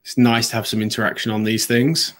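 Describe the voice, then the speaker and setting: A man's voice: medium pitch, level tone, brisk, a bit bright. A man hosting a live business webinar, a bit sniffly from hay fever, talking conversationally with frequent ums and restarts. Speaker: webinar host.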